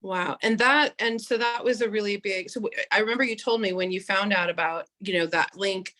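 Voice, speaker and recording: A woman's voice: medium pitch, brisk, bright, forceful tone. A woman hosting an online interview in a chatty, encouraging, conversational way. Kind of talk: casual interview chat.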